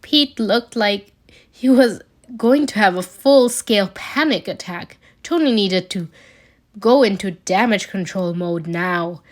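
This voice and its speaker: Slow-paced woman reading a story. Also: mid-range voice. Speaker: amateur podfic reader. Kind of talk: scripted fan fiction narration read aloud.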